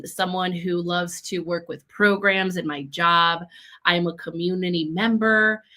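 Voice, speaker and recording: A woman's voice: fairly low pitch, pushed and bright. A young woman from Chicago, raised in Minnesota, speaking as a guest on a video call interview. She talks in an earnest, upbeat way with frequent fillers. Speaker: guest, community leadership program leader. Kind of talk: casual interview conversation.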